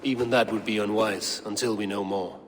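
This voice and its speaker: An actor playing a grim, shrewd male Viking raider in a video game, speaking in a measured, terse way. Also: on the low side, fairly even intonation, slight background noise, close to an everyday voice. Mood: wary, curious.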